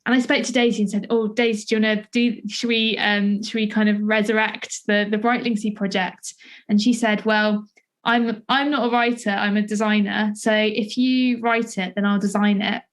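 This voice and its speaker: A woman's voice: mid-range voice, level tone, normal speed. Young woman, southern English, podcast interviewer, mid-range voice, informal and searching in her phrasing. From Essex, England. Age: 20s. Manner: hesitant, searching phrasing with many hedges. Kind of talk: casual interview chat.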